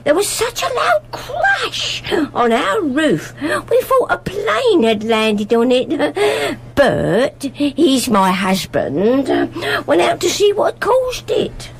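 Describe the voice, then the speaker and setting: A chatty village shopwoman played by an actress in a radio play. Her high voice leaps up and down dramatically as she gossips slowly and nosily, always deferential to her customer. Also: big pitch swings, full character voice. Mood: gossipy, excited. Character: chatty, nosy married village shopkeeper woman. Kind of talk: live amateur radio play acting.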